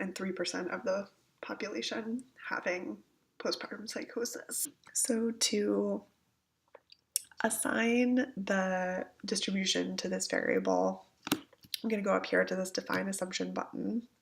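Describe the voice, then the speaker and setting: A woman with a gravelly voice, getting over a cold, gives an informal step-by-step software tutorial, somewhat hesitant as she thinks aloud. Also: mid-range voice. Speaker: instructor giving a software tutorial. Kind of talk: informal step-by-step screencast walkthrough. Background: computer keyboard typing.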